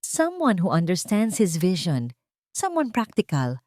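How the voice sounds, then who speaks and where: A woman's voice: fairly low pitch, huge pitch swings, unhurried, a little mellow, dry recording, studio quality. A woman voicing a haughty, rich old mother-in-law in an audio drama, falsely sweet and gloating, with pointed emphasis. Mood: smug false concern. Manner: pointed emphasis, feigned concern.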